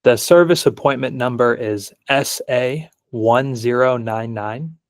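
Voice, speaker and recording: Man demonstrating software, dry audio. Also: medium pitch, measured pace, dry recording. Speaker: product demo presenter. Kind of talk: live software demonstration, plain requests. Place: indoors on a video call.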